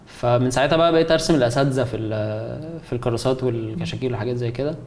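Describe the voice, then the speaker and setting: A man's voice: mid-range voice, very fast, darkish tone, slight background noise. A man in his twenties with an Egyptian accent, a guest chatting casually and hesitantly, with many fillers and restarts. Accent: Egyptian Arabic. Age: 20s. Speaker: guest, concept artist. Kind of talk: casual chat.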